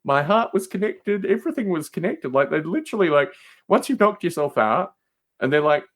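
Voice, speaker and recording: Animated man in his forties chatting. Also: sits high, brisk. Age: 40s. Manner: emphatic, repeats phrases for emphasis. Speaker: podcast guest. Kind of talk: casual podcast chat.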